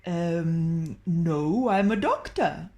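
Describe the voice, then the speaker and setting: A woman's voice: on the low side, animated, drawn-out words, a little mellow. A woman voicing a grave, hesitant male doctor who soothes and stalls.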